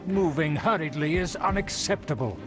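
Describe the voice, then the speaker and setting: A man's voice: on the high side, animated, moderate pace, close to an everyday voice. A man voicing a pompous, snobbish aristocrat in a video game, speaking in a formal, mannered way. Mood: haughty. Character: pompous, snobbish nobleman of a fallen aristocratic clan. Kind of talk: video game character acting. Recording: studio voice over game music.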